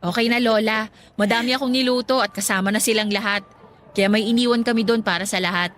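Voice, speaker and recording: A woman playing an affectionate, teasing younger married woman in a Filipino radio drama, speaking in a gently reassuring way. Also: on the high side, fairly even intonation, quick pace, a little hiss or hum, lightly acted.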